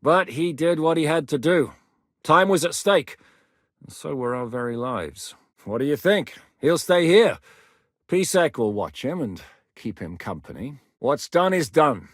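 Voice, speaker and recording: An actor playing a gruff, blunt medieval war captain who shouts battle orders. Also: male voice, fairly high pitch, expressive, a little slow, no echo, studio quality. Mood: dry, blunt. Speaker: military commander. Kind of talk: game voice lines.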